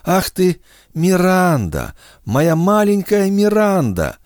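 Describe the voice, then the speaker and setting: A man voicing a frail, tender old grandmother with a slow, quavering, cooing voice. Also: sits high, wildly swooping pitch, darkish tone, no room sound, clean studio sound, voice pushed up, heavily acted. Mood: tender, amazed.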